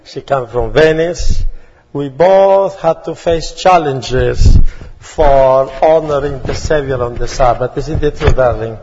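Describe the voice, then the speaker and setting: A man's voice: mid-range voice, slow, a little mellow, husky. A man from Italy with an Italian accent, greeting a congregation as a guest preacher, with playful asides. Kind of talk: sermon greeting. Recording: dull sound with long hall reverb.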